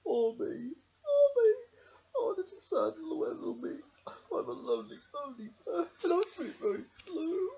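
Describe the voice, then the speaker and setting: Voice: sits high, sing-song, normal speed, gravelly, warm tone, pitched above the performer's own voice, exaggerated voice. A storyteller voicing a sad little female teddy bear in a storybook, singing in wailing, self-pitying sing-song. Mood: mournful. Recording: dull lo-fi camera audio.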